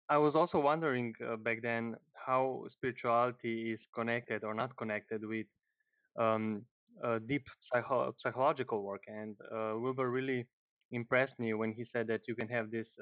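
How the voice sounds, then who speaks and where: A man's voice: medium pitch, no room sound. A thirty-year-old man with a Slovenian accent introduces himself informally on a group call, hesitantly, often repeating words. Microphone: internet or phone call, headset. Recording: muffled narrow phone-like call audio.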